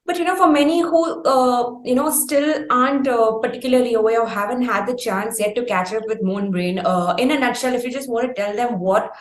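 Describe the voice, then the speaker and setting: A woman's voice: on the high side, fairly quick, hardly any pauses. Indian lady doing an interview, long winding questions, chatty, echoey room on a video call. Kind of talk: conversational interview.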